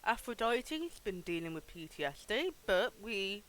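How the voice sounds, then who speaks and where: Bright, high-pitched man streaming games. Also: sing-song, unhurried, rough recording. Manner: sweary, informal. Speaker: livestream host. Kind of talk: casual livestream game commentary.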